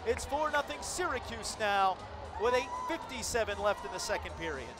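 A man with a high, bright voice calls a hockey game play by play from the booth. He moves quickly through each pass and lifts into shouted goal calls, with loud music running underneath. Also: lively intonation, a crowd in the background. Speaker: play-by-play announcer.

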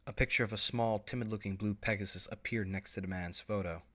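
A man's voice: lowish voice, fairly even intonation, fast, pushed and bright. A man reading scripted story narration as the narrator.

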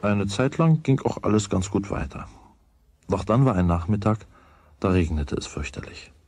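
A man's voice: lowish voice, expressive, normal speed, creaky, darkish tone. A man narrating a children's radio play as its scripted storyteller. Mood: measured.

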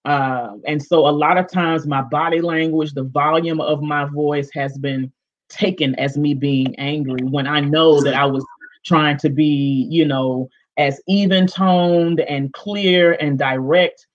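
A woman panelist with a big, expressive voice, speaking emphatically and directly on a video call, often restarting with fillers. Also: sits low, a little slow. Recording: dull lo-fi video call audio.

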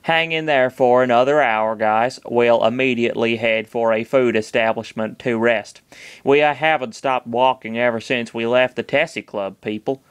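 A man voicing a calm male pilot with a measured, reassuring manner. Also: mid-range voice, smooth, no echo, natural voice.

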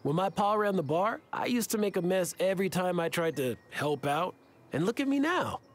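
Easygoing, high-voiced young townsman. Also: sing-song, moderate pace. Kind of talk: video game voice acting.

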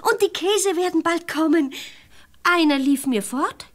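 An actress playing a naive, chatty, simple-minded peasant wife in a fairy-tale audio play, artless and full of exclamations. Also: female voice, very high voice, measured pace. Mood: innocent. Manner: artless, exclamatory, self-justifying.

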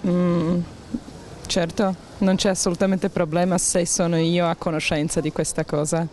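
A woman's voice: on the low side, little pitch movement, moderate pace, darkish tone, a little hiss or hum. A woman answering a street interview outdoors into a handheld mic, with short decisive answers. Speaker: passer-by interviewee. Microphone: handheld mic held by interviewer.

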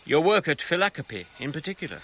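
An actor playing a formal, exacting gentleman archaeologist in a radio drama, with a precise, commanding manner. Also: fairly high pitch, very animated, quick pace, slightly rough, lo-fi sound, heavily acted. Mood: reserved.

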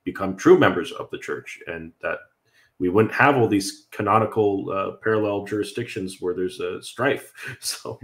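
A young Canadian man with a deep, low voice talks through viewer questions on a livestream. He speaks as a priest, earnest and explanatory, with some fillers and restarts. He is heard through a webcam from a room at home. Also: normal speed. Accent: Canadian English. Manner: earnest, explanatory, with frequent fillers and light laughs. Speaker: Orthodox priest hosting a livestream. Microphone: video call webcam.

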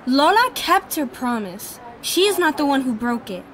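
A woman's voice: high voice, sing-song, normal speed. A female actor playing an inquisitive, thoughtful young girl time traveler in an audio drama. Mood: curious, thoughtful. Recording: busy crowd chatter behind the voice.

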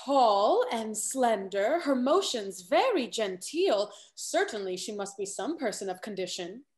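A woman's voice: fairly high pitch, big pitch swings, a little slow, a little mellow. A young woman playing a scheming, falsely sweet genteel confidante in a Restoration comedy, with an affected, gossipy manner. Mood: feigned concern. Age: young adult. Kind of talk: theatrical period stage acting over video call. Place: indoor room at home, on video call.

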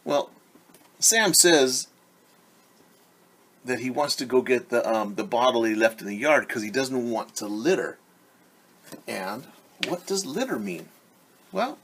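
Husky man reading to young children. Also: medium pitch. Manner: animated, simple explaining with questions to the listener. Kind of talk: expressive picture-book read-aloud with simple explanations to children. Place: living room at home, indoors. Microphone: device camera at about arm's length.

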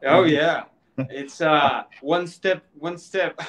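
A man's voice: on the high side, fairly bright tone. Man co-hosting on a video call. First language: Spanish. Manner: halting, repeats words. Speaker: webinar co-host. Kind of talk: casual live-stream chat.

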